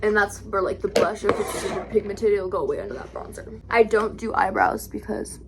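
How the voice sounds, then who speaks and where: A young woman with a raspy voice, chatting casually through a makeup tutorial, rambling and correcting herself as she goes. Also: mid-range voice, fairly quick, slight background noise. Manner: rambling, self-correcting, lots of "like". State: raspy today. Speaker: vlogger.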